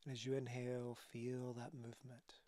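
A man leading a guided relaxation as a yoga teacher, speaking calmly and softly with breathy phrases and long pauses. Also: medium pitch, dry recording. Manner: calm, soothing instruction.

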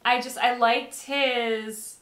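A woman's voice: on the high side, fairly even intonation, slow, fairly bright tone. Woman chatting in an echoey room. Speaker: reaction show co-host. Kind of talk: casual chat. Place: small indoor set with backdrop, seated.

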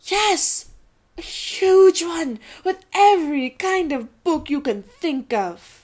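A woman voicing an eager, nervous enchanted candelabra servant, excitable and pleading, in an audio drama. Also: very high-pitched, slow, full character voice.

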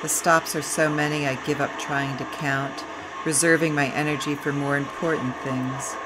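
A middle-aged woman reading a memoir excerpt aloud in a slow, reflective way. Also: low voice, level tone, very noisy. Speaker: vlogger and author. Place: train compartment.

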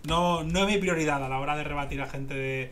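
A man with a Spanish accent from Spain and a slightly high voice talks politics in a rambling, emphatic way. He repeats himself as he argues casually. The home-stream recording carries some light noise behind him. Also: fairly even intonation, fast. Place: small room at home, video call.

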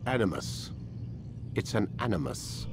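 A cold older scientist in a game cutscene. His delivery is clinical and condescending, with a measured manner and a lively rise and fall in pitch. Music plays behind him. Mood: cold, menacing. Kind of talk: video game cutscene acting.

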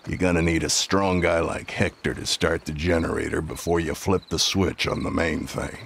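An actor playing a weary, menacing old Western marshal in a video game, speaking in a slow, dry, deliberate and gravelly way. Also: male voice, low-pitched, fairly even intonation, a bit bright, a little hiss or hum. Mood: calm, instructive. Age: older.

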